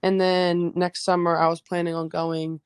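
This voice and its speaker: A teenage girl from New Jersey whose first language was Greek, answering questions in a loose, hesitant way full of "um" and "like." Her voice sits low for a girl, and the recording is close and dry. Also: level tone, normal speed, clear, resonant tone, dry recording. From New Jersey, USA. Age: teens. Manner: hesitant, many fillers like "um" and "like". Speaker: high school basketball player, guest. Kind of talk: casual interview answers.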